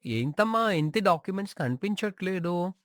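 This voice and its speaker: A man's voice: sits high, animated, moderate pace, a bit bright, dry recording. A man playing a callous, greedy grown son, blunt and complaining, in a dramatized moral story. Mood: indignant.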